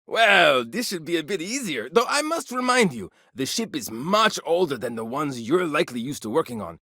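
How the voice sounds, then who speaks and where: A man voicing an eager, curious chief engineer of an aging colony starship in a video game, chatty and excitable. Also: fairly high pitch, normal speed, no echo, studio quality, heavily acted. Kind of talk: video game character dialogue.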